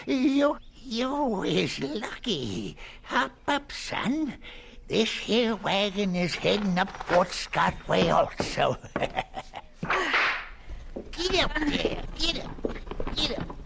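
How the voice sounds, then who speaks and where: A man playing a folksy, kindly older country man with a gravelly, husky voice in a radio drama. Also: sits high, very animated, very slow, pauses often, noisy background, heavily acted. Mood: jovial, folksy.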